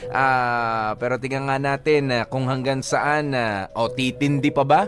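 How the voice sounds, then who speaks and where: A Filipino man hosting a talk show in casual, joking, somewhat halting conversation. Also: mid-range voice, fairly even intonation. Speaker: radio show host. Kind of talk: casual unscripted banter and commentary. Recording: music bed under the talk. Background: background music.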